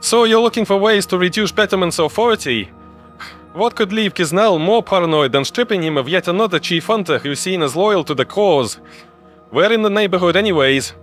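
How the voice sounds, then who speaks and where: A man's voice: high voice, expressive, brisk, a bit bright, soft background music, pitched above the performer's own voice. A man voicing a shrewd, wry human male strategist in a sci-fi audio story, probing as he speaks.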